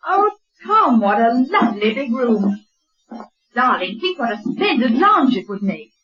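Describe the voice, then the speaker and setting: An actress playing a determined, brisk wife who waves away fears, in theatrical old-time radio drama style. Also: female voice, fairly high pitch, faint music behind. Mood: delighted. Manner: theatrical, expressive, chiding and coaxing. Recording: dull lo-fi old radio recording.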